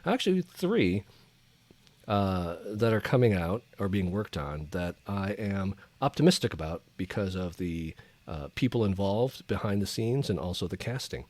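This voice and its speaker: A man's voice: deep voice, animated, moderate pace, darkish tone, no echo. A man from the American Midwest co-hosting a podcast in casual, conversational talk. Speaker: podcast co-host. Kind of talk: casual chat about entertainment news.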